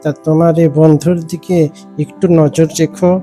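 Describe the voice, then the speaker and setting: Man playing a measured, higher-pitched village leader. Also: flat delivery, dark tone, soft background music, lightly acted. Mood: measured, cautioning. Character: fair-minded, cautious village leader. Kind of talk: cartoon character acting.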